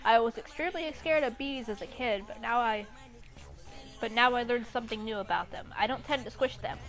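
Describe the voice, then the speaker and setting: A woman's voice: a little high, fairly bright tone. A young woman casually reading and mocking fan fiction, with an irreverent, sweary, giggly manner. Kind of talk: casual commentary on a read-aloud story. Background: background music.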